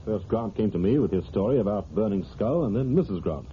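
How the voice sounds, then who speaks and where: An actor playing a rational, unruffled amateur detective in an old radio drama, with a dry, quick-witted delivery. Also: male voice, on the low side, lively intonation, natural voice. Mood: matter-of-fact. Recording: tinny, noisy old radio recording.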